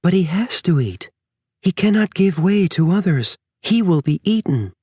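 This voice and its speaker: A man's voice: high voice, very animated, normal speed, a little mellow, breathy edge, no room sound, studio quality, pitched above the performer's own voice, heavily acted. A man voicing an anxious, protective mother grizzly bear in an audiobook, with urgent, questioning delivery. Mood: worried, urgent. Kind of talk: audiobook character voice.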